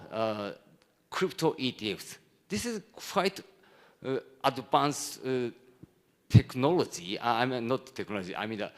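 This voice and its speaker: Japanese man giving a halting conference presentation. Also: mid-range voice. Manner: halting, broken phrasing in non-native English. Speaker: conference speaker, hedge fund executive.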